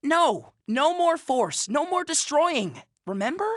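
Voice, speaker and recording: Cartoon game boy hero: high, animated, youthful, kind and sincere. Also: takes it slowly, no echo, clean studio sound, full character voice. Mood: firm. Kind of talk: animated game cut-scene acting.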